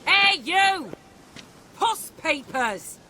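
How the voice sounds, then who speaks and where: A sharp, forceful female voice. It is a cocky, coarse fantasy game swordswoman, mocking and pushy. Faint noise sits behind her. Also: very high-pitched, very animated, extremely slow, heavily acted. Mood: mocking, brash. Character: brash, pushy sword-fighting ruffian woman, mocking and vengeful. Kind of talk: video game voice acting.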